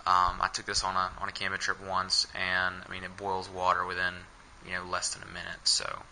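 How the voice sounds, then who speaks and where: A man's voice: sits low, monotone, a little fast, creaky, bright, forceful tone, slight background noise, rough recording. A young man filming himself indoors with a head-mounted camera, casually walking through his camping gear with many ums and uhs. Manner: hesitant, many fillers. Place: small indoor room.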